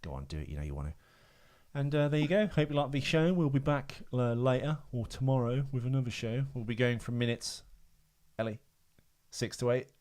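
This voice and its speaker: A man with a mid-pitched voice, talking as a podcast co-host. He rambles casually through trivia, hesitating and correcting himself as he goes. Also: animated, fairly quick, a little mellow.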